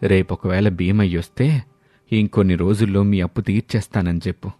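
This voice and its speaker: A man playing a poor, hardworking husband, speaking earnestly and gently in a dramatized story. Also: low-pitched, steady tone, fairly quick, no echo, studio quality, faint music behind.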